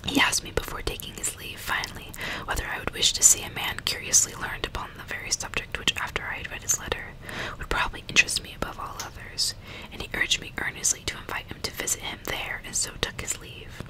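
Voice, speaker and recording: Asmr woman whispering an old novel right up on the mic, all breath no voice, soft n unhurried. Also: low-quality recording. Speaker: ASMR creator reading a novel aloud. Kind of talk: whispered ASMR audiobook reading. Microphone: close ASMR microphone.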